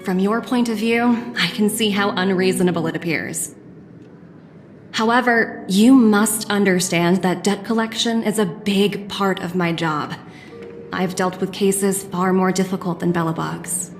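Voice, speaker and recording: An actress playing a poised, cultured young corporate executive in a video game, speaking politely and in measured, articulate phrases. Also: female voice, medium pitch, fairly even intonation, over music, lightly acted. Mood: calm, firm.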